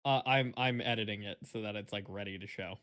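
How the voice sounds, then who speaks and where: A woman's voice: very deep voice, fairly quick, a bit bright. A woman making dry, teasing remarks in a casual stream chat.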